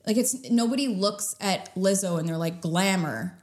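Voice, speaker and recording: A woman's voice: mid-range voice, moderate pace. Blunt, emphatic woman co-host. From Canada. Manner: blunt, emphatic, repeats points. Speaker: podcast co-host. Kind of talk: casual opinionated chat.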